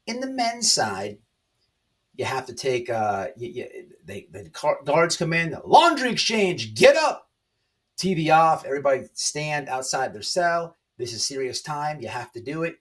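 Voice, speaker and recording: A man's voice: fairly high pitch, very animated, unhurried, a bit bright, recorded from a distance. A man hosting an online commentary channel, talking casually and emphatically to viewers, repeating phrases and checking in with rhetorical tags. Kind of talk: casual opinionated monologue to viewers.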